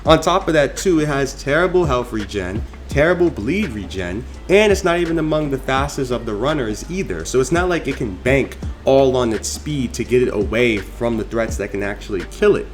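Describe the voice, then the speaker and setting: Man, mid-pitched voice, emphatic, conversational, opinionated game review commentary, background music. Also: expressive, normal speed, few pauses. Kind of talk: opinionated tier list commentary.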